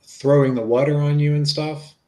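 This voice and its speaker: A man playing a plain-spoken, gently probing and reassuring investigator in a dramatic reading of a police interview. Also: fairly high pitch, fairly even intonation, normal speed, lightly acted.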